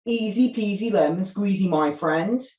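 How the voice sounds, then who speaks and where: A woman's voice: medium pitch, measured pace, warm tone, dull audio, close to an everyday voice. A woman voicing a laid-back, cool slacker puppet in a comedy show, nonchalant and slangy, on an echoey video call.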